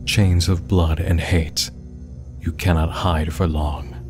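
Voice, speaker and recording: A man voicing a menacing, grieving female antlered creature in a slow, solemn recitation. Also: low voice, level tone, over music. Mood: ominous, bitter.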